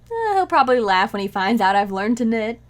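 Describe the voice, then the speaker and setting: A woman's voice: on the high side, very animated, brisk. A woman playing a cheerful, scatterbrained young housewife in 1940s-style radio comedy, with a breezy, innocently matter-of-fact manner. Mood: sheepish, scheming.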